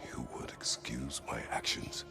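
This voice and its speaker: A man playing a brooding, guilt-laden warrior in a video game, gravelly and terse, speaking in grave, clipped phrases. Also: unhurried, whispered, natural voice. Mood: stern, curt. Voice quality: very deep, gravelly. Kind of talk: cinematic video game acting. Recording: music under the voice.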